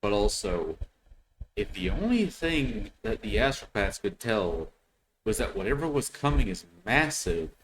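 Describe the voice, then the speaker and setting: A man hosting a reaction video with casual commentary, speaking in a hesitant, halting way and often repeating words. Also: lowish voice, big pitch swings, crowd noise, poor audio.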